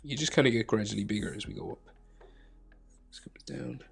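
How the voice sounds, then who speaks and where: A man with a head cold, narrating a step-by-step drawing tutorial, muttering quietly to himself and drifting into whispers. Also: mid-range voice, a little fast, vocal fry. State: congested with a cold. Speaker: art tutor.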